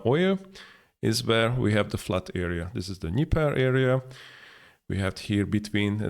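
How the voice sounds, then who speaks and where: A man teaches from a map in a lowish voice. He speaks in halting bursts, filling pauses with "uh" and correcting himself as he goes. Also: vocal fry. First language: Hungarian. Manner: halting, many fillers and self-corrections. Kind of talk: explaining over a map.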